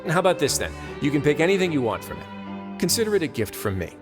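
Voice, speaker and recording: A man voicing a calm, wry male duke who runs a prison, speaking in a smooth, measured and courteous way in a video game. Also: on the high side. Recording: game audio with music behind.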